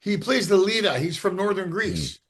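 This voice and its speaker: A man chatting on a podcast, telling stories in an animated way and quoting others. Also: high voice, moderate pace. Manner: animated storytelling with quoted voices. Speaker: podcast host. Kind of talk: casual chat.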